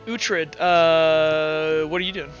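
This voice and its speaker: A man's voice: on the high side, little pitch movement, slow pace. A man acting as game master in a tabletop roleplaying game, explaining rulings in an accommodating way. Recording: dull sound with music underneath. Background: music.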